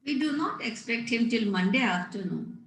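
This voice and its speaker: A woman's voice: on the high side, expressive, normal speed, a bit bright. A woman reading a prim governess, echoey room. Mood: coy. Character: prim, strict governess, coy with the rector. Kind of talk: amateur table read of Victorian comedy play. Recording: roomy, echoing sound.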